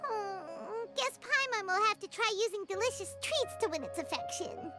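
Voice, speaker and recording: A woman voicing a tiny, childlike, excitable fairy companion in a video game, with exclamatory delivery. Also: moderate pace, over loud music. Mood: cheerful. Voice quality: squeaky, childlike. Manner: speaks of self in third person, exclamatory. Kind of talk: video game character acting.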